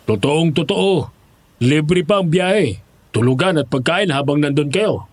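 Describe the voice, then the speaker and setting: A man's voice: on the high side, lively intonation, measured pace, dry recording. An actor playing a persuasive, glib male job recruiter with coaxing sales talk in a horror audio drama.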